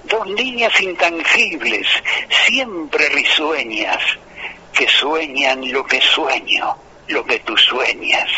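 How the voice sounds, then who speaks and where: A man reads poems over a telephone line that sounds thin and tinny, with a faint hiss behind him. His delivery is unhurried and earnest, and it lingers on each line. His voice is bright and somewhat higher than usual. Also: animated. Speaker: radio caller reciting poetry. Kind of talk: slow, emotive poetry recitation.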